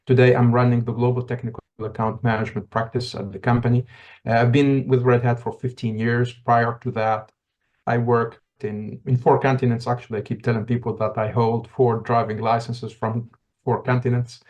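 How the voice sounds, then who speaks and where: A middle-aged man from Tunisia, a podcast guest telling his story in a reflective, halting way, with many restarts. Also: medium pitch, flat delivery, darkish tone, dull audio. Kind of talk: casual interview talk.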